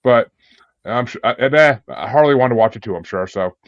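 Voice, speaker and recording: A man's voice: mid-range voice, animated, moderate pace, dry recording. Dull-sounding, casually profane male podcast host. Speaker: host of a music ranking show.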